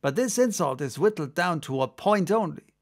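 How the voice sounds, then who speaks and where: Male narrator as sailor storyteller, audiobook drama, slightly high pitch, creaky, exclamatory, conversational. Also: animated, moderate pace. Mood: animated yarn-spinning. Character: gruff, excitable sailor, second mate, spinning a yarn.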